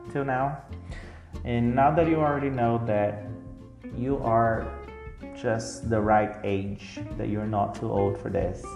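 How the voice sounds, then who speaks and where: Man, English teacher, unhurried, low gravelly voice, casual and reassuring, echoey room, faint guitar. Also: a little mellow. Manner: casual and reassuring, with frequent "like" and "you know". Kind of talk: recorded lesson for language learners. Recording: roomy echo with faint guitar music.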